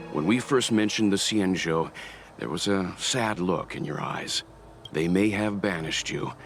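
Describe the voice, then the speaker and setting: An actor playing a steady, fatherly, loyal man who reassures his comrade in a video game. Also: low-pitched.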